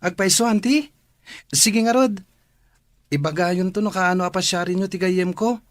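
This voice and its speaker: An actor playing a polite young relative in a radio drama. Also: male voice, high voice, moderate pace, dry recording, lightly acted. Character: young relative caring for a grandmother. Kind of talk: Ilocano radio drama acting.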